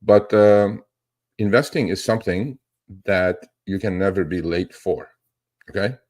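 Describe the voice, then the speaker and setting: A man's voice: low voice, measured pace, darkish tone. A man hosting a casual, unscripted livestream as an investing educator, talking in a rambling, emphatic way and often checking in with "okay?" or "right?". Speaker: live stream host, investing educator and trader.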